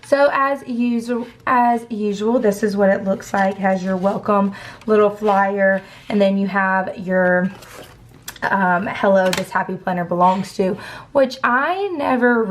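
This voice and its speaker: A young woman with laryngitis, hoarse, chatting casually through a planner haul. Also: medium pitch, a little hiss or hum. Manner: chatty, describing items as she flips through them. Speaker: planner vlogger.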